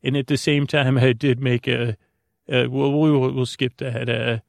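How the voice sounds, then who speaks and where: A man hosting a podcast with a rambling bedtime monologue, halting and digressive, goofy and self-deprecating as he talks listeners toward sleep. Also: medium pitch, level tone, creaky, no room sound, studio quality. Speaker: podcast host. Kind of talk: rambling, meandering bedtime monologue to help listeners fall asleep.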